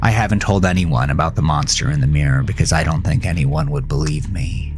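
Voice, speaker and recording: Deep-voiced guy acting as a haunted regretful survivor, intense horror audio drama narration, music underneath. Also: brisk, gravelly. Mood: somber, haunted. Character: haunted, regretful man recounting his fight with a monster.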